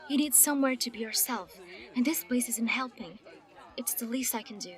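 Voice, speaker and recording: A woman playing a caring team captain who speaks calmly and with authority. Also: on the high side, normal speed, natural voice. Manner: calm, warm and commanding. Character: steady, caring young female captain. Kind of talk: audio drama acting.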